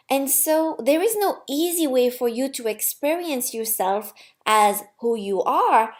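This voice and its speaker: A woman playing a gentle, wise, amused God in a storybook, explaining patiently and reassuringly. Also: sits high, voice pushed up. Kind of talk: storybook character voice.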